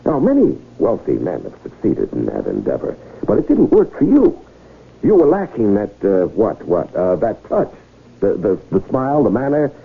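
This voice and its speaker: An actor playing a smooth-talking, goading male swindler in 1970s radio drama style, rhetorical and needling, with dramatic pauses. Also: lowish voice, huge pitch swings, heavily acted. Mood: scornful, needling. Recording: muffled 1970s radio broadcast recording.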